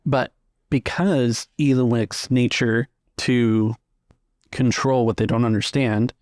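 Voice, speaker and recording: A man's voice: mid-range voice, fairly even intonation, slow pace, a little mellow, no echo, clean studio sound. A man narrating a tabletop roleplaying game as its game master.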